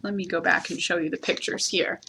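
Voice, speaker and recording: A woman reading a children's story aloud as a storyteller, in a gentle, expressive manner. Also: fairly low pitch, fairly quick, vocal fry, a little hiss or hum. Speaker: library storyteller.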